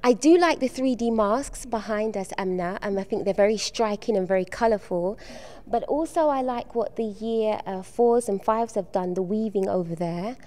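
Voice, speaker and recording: A middle-aged woman from the UK, soft-spoken and hesitant with frequent ums, answering questions as an art exhibition organizer at a school event. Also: mid-range voice, moderate pace, hardly any pauses. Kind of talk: on-location TV interview.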